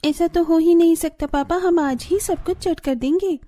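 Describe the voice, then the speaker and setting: A woman's voice: extremely high pitch, moderate pace, mellow. A woman voicing a playful, girlish youngest daughter in a cartoon family story, eager and squabbling. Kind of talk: cartoon family drama dialogue.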